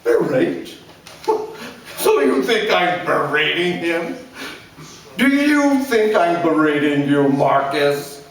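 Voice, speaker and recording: A man's voice: a little high, very animated, takes it slowly, pitched above the performer's own voice, exaggerated voice. A man voicing an angry, belittling middle-aged drunk father, ranting and sarcastic, heard in an echoey room from far off.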